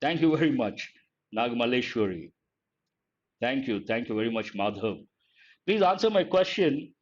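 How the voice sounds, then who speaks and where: An older man with an Indian English accent teaches an online English grammar class, calling on students by name, asking questions and repeating the key points slowly. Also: mid-range voice, animated. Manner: addresses students by name, asks and answers his own questions. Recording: dull lo-fi audio.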